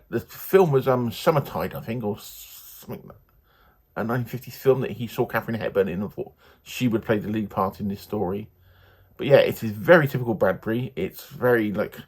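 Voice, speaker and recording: Man, slightly low voice, very husky, rambling, hesitant, casual book reviewer. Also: a little mellow.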